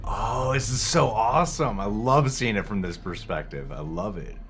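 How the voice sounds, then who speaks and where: A man's voice: fairly low pitch, animated, moderate pace, fairly bright tone. A man hosting a reaction video, giving gushing, exclamatory, casual commentary on a film. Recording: film soundtrack music behind voice. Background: music from the trailer.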